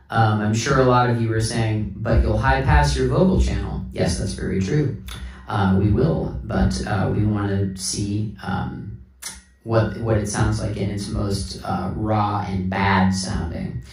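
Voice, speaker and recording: A man's voice: lowish voice, a little mellow. A man giving a casual hands-on tutorial, talking through each step unhurriedly as he works as a sound instructor. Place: indoor room with sound system. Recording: roomy, distant mic, PA sound.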